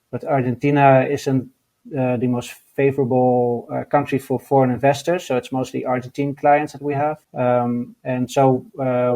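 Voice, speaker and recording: A man from the Netherlands with a Dutch accent, giving interview answers in a halting way with many fillers and restarts. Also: mid-range voice, darkish tone. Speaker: guest, mining entrepreneur.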